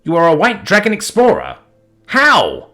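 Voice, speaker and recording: A man's voice: high-pitched, wildly swooping pitch, normal speed, sharp tone, voice pushed up, heavily acted. A man playing an exasperated grumbling guy. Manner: irritable, grumbling, swearing.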